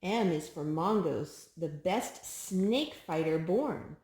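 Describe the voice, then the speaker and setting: A woman hosting a children's storytime, reading picture books aloud in an expressive, sing-song way to a small child. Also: lowish voice, slow pace, echoey room, a little hiss or hum.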